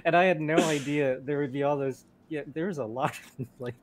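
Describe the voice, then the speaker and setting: A man's voice: on the high side, brisk, a little hiss or hum. A man who grew up in Thailand, talking casually as a panel guest, often restarting his words. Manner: stammering restarts.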